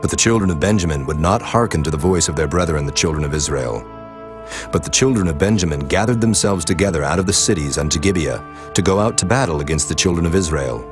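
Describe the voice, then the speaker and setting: A man with a deep voice narrates scripture in a formal, scripted style. Music plays clearly behind him throughout. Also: animated, fast. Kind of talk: scripted dramatized Bible narration. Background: background music.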